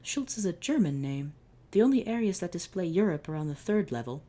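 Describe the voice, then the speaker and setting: A woman voicing a brisk young woman who asks questions and explains. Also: on the low side, sing-song, mellow, pitched above the performer's own voice. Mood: inquisitive.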